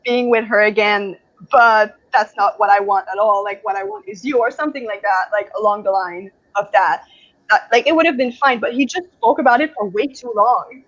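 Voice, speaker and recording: A woman co-hosting a book club chat in a casual, opinionated, animated way, with lots of hedging. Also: mid-range voice, moderate pace, lo-fi sound.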